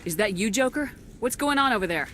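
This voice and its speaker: A woman's voice: mid-range voice, lively intonation, brisk, close to an everyday voice. A resolute military commander played by a woman in a science fiction game. She speaks firmly and with authority, giving every phrase the weight of command. Faint ambient noise sits behind the voice. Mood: firm, urgent. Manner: firm, commanding. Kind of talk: dramatic video game acting.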